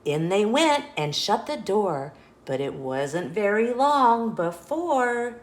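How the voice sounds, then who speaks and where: A woman reading a children's picture book aloud as an expressive, measured storyteller. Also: mid-range voice. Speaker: storyteller, host of a children's read-along show. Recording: roomy echo.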